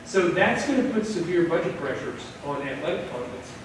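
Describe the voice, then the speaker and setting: A man, an economics professor, explaining research on a panel in a measured lecturing way. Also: fairly high pitch, expressive. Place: large echoey event hall. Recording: echoey hall from far off, noisy.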